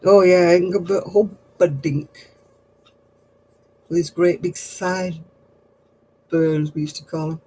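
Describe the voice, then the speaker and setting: An older woman chatting casually on her craft livestream, answering viewers in a low, husky voice and a rambling, gossipy way. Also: steady tone, slow pace, long pauses, a little mellow, low-quality recording. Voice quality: low, husky voice after throat surgery.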